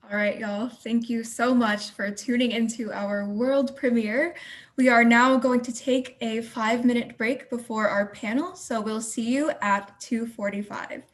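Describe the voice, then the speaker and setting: A woman's voice: on the high side, fairly even intonation, unhurried. A woman narrating and hosting a live-streamed community theatre event.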